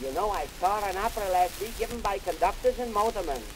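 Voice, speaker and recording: Crackly 1920s 78 comedy record, guy doing a high-pitched grumbling wisecracker with yiddish accent, brash and cheap. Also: quick pace, lightly acted. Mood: grumbling, wisecracking. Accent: Yiddish-inflected English. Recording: hissy, noisy 1920s 78 rpm record.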